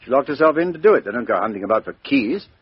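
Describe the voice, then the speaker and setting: An actor playing a brisk, commanding police inspector in a 1950s radio drama. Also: male voice, medium pitch, lively intonation, husky, dry recording. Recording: dry, dull lo-fi old radio recording.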